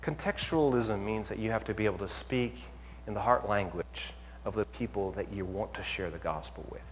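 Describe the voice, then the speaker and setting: A male pastor giving an animated sermon, asking the audience questions and rising to near-shouts to make his points. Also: fairly low pitch, normal speed, a little hiss or hum. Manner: asks the audience rhetorical questions. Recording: long hall reverb.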